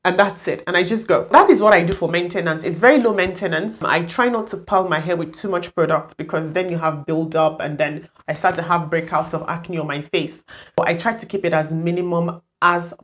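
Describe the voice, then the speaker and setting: A woman's voice: on the low side, a little fast, fairly bright tone. A woman giving a casual vlog update, chatting and explaining things directly to her viewers. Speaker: hair vlogger.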